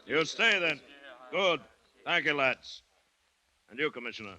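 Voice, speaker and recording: Forceful, defiant plantation boss, sharp and bright. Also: male voice, on the high side, expressive, unhurried, heavily acted. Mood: grim resolve. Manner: clipped, resolute, commanding. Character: defiant, commanding male plantation owner fighting off ants. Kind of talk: 1940s radio drama acting. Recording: noisy restored 1940s radio recording.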